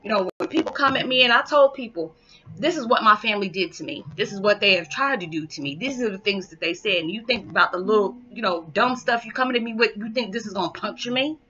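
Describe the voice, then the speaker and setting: A woman in middle age speaks frankly about her life, emphatic and animated. Her voice is bright and forceful, and the sound is a little dull and lo-fi from a built-in webcam mic in a small living room. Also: mid-range voice, fast talker. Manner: candid, emphatic, animated hand gestures. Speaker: vlogger. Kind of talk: personal testimony vlog.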